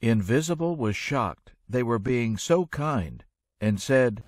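A man narrating a rhyming children's story in an expressive, measured storytelling manner. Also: medium pitch, no echo, clean studio sound.

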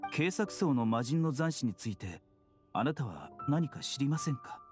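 With music clearly behind him, a composed young physician played by a man speaks at a middle pitch. He is gentle, scholarly and unfailingly courteous, in the measured manner of an anime game character. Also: natural voice. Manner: polite, measured, calm. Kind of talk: Japanese anime game voice acting.

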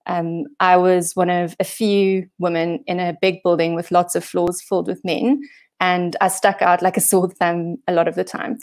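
A woman's voice: fairly low pitch, little pitch movement, normal speed, a little mellow. South African woman giving a chatty talk. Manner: self-deprecating, chatty, many fillers. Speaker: guest speaker. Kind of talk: casual presentation talk. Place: home room on holiday. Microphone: video call.

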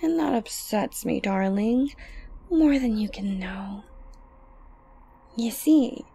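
A woman acting a breathy, slow-talking obsessive yandere girlfriend. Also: medium pitch, lively intonation, dark tone, heavily acted. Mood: obsessive, menacing. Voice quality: breathy, often dropping to a whisper. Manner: slow, coaxing, condescendingly sweet. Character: obsessive, dominant, sadistic yandere girlfriend, creepily tender. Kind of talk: ASMR roleplay monologue to the listener.

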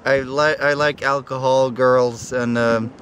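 A man's voice: medium pitch, fairly even intonation, measured pace, crowd noise. A man in his twenties from Sweden chatting casually in a hesitant, joking way. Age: 20s. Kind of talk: casual chat. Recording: faint outdoor wind and vehicle noise.

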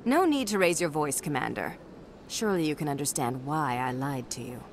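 A woman playing a composed, calculating official in a video game, speaking with formal, measured politeness. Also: sits low, lively intonation, slight background noise. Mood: coolly polite.

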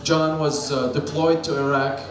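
A young man doing a deadpan stand-up set with dark jokes on a bar stage, talking into a handheld mic. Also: on the high side, normal speed. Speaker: stand-up comedian. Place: small bar stage with a live crowd. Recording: echoey room with loud crowd.

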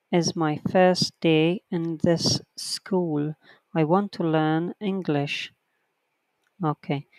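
A writing teacher giving an online lesson, reading textbook sentences aloud slowly and counting the corrections one by one. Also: mid-range voice, darkish tone, smooth, no echo, poor audio.

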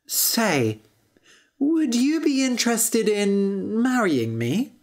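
A woman's voice: fairly high pitch, big pitch swings, slow pace, darkish tone, pitched above the performer's own voice, exaggerated voice. A woman voicing a timid, sensitive young prince in a storybook tale, with a hesitant and emotional manner. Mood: eager, coaxing.

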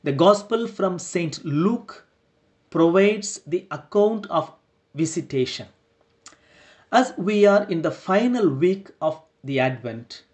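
Slow, deliberate priest preaching. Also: male voice, sits high, a little mellow. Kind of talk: sermon.

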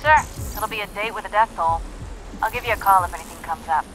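A woman's voice: mid-range voice, brisk, background noise, natural voice. A young American woman voicing a brash, hot-tempered, superpowered vigilante in a video game, with a sarcastic, slangy, defiant manner. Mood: sardonic. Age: young adult. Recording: game audio with music behind.

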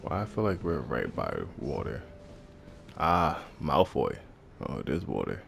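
A man's voice: a bass voice, very animated, measured pace, gravelly, a bit bright, faint music behind. An American man giving casual, slangy and profane reactive commentary as he plays a video game. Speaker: gamer.

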